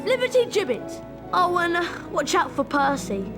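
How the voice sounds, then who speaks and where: A cheeky, excitable British schoolboy in a fantasy game. The voice is extremely high and swoops around, blunt and given to complaint. Loud music plays behind. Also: female voice, normal speed. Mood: casual, cheeky.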